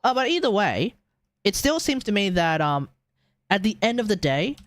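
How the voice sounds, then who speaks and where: A man's voice: high-pitched, very animated, normal speed, fairly bright tone, a little hiss or hum. A man doing casual livestream game commentary, rambling and thinking aloud over game music. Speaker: game streamer. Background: video game music.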